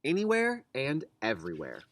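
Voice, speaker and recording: An adult reader voicing a calm, reassuring mother of young twins in a picture book. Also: medium pitch, moderate pace, a little hiss or hum.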